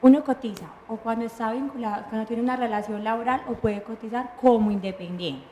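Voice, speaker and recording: A woman with a Colombian accent and a fairly high voice lays out pension rules step by step. She poses questions and then answers them herself, in the even tone of a guest lawyer on a talk show. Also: brisk, slight background noise. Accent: Colombian Spanish. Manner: patient, methodical, lists points and answers her own questions. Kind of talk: explanatory studio talk.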